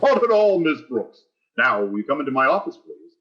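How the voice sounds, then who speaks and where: A man's voice: mid-range voice, big pitch swings, a little fast, fairly bright tone, highs cut off. An actor playing a pompous, greedy male school principal in 1940s radio sitcom style, florid and insinuating with false magnanimity. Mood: falsely jovial.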